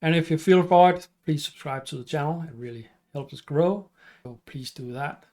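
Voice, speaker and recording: A middle-aged man with a Danish accent, hosting his channel and explaining at an unhurried pace with some fillers and restarts. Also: on the high side, mellow. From Denmark. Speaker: channel host and teacher. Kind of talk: casual explainer talk to camera.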